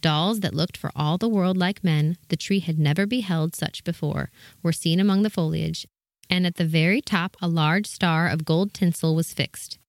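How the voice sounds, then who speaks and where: Low-voiced woman narrating a fairy tale. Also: normal speed, no echo, clean studio sound. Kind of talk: scripted storybook narration.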